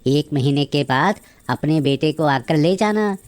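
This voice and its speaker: A man playing a sly sweet-maker who is secretly a conjurer, deliberate and bargaining, in a cartoon moral story. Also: on the high side, lively intonation, no echo. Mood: sly, boastful.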